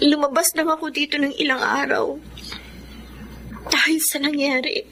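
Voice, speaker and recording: A high-pitched young Filipina student, hurt but fiercely loyal to her sister. She speaks in emotional, pleading radio drama style, over clear background noise. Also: fairly even intonation, moderate pace, sharp tone. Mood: tender, reconciling.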